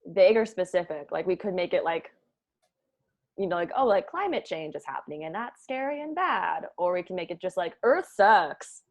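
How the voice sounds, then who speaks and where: A woman's voice: fairly low pitch, animated, moderate pace. A woman leading a script table read as its writer, talking casually with the group and tossing in joking asides.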